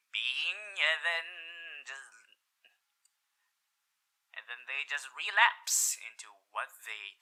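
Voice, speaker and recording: A man's voice: mid-range voice, bright, forceful tone, exaggerated voice, rough recording. A man voicing a suave, flirtatious radio demon with a theatrical showman's delivery in a roleplay audio for the listener, moving between bold exclamations and intimate murmurs. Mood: musing, sly. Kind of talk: character roleplay ASMR addressed to the listener.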